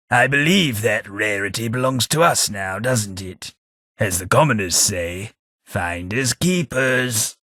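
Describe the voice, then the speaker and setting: An actor playing a refined, dry-witted male ghoul companion with an upper-class Southern English accent and a husky voice, recorded close in a studio for a game. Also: medium pitch, bright, forceful tone, no room sound, heavily acted. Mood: wry. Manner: dry, wry, refined delivery. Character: posh, witty male ghoul gentleman companion. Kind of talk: video game voice lines. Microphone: close studio mic.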